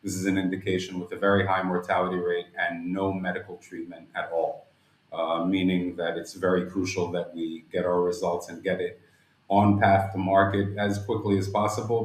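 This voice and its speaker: A man, a company director, explaining business plans in an interview with formal, elaborate wording in a roomy recording. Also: sits low. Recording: roomy, echoing sound.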